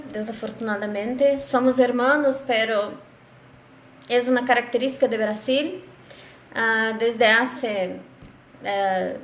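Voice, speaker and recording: A Brazilian woman with a Brazilian Portuguese accent speaking Spanish as a second language, greeting an online audience as a guest lecturer, halting with many fillers and restarts, over a video call. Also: a little high, roomy sound, slight background noise.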